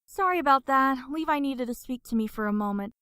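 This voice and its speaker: A woman playing a brisk, excitable supervisor in a fan audio drama. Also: high-pitched, clear, resonant tone.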